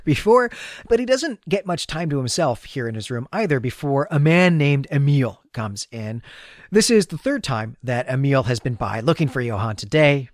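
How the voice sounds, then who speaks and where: A man's voice: medium pitch, no echo, studio quality. A man co-hosting a podcast, giving a conversational, explanatory recap of a story. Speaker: podcast co-host. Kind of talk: conversational literary recap and discussion.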